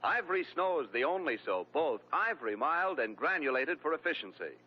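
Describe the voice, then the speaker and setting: A man's voice: on the high side, sing-song, a little fast. A man reading a soap commercial in a persuasive 1940s radio announcer style. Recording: dull lo-fi old radio recording with hum.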